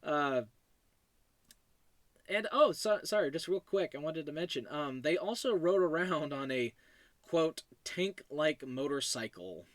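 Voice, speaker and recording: A man's voice: on the high side, animated, measured pace, a bit bright, no echo. A man hosting a solo podcast in a casual, digressive, self-deprecating way, talking about his own fiction. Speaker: podcast host.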